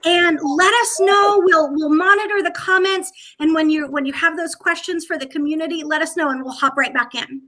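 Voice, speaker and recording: A woman's voice: very high-pitched, fairly even intonation, normal speed, hardly any pauses, pushed and bright. A woman hosting a livestream on a video call, bubbly and effusive. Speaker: host.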